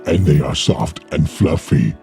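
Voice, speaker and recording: A man playing a gentle, soft-hearted shepherd in a fantasy audio drama, formal and unhurried. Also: a bass voice, creaky, over music, heavily acted. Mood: tender, earnest.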